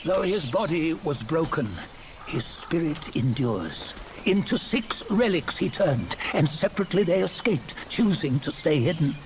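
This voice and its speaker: An actor playing a weary old sage, telling a legend slowly and gravely in a fantasy game. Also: male voice, fairly high pitch, expressive, background noise. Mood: solemn, reverent. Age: older. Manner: slow, measured, grave. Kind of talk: solemn scripted storytelling in a fantasy game.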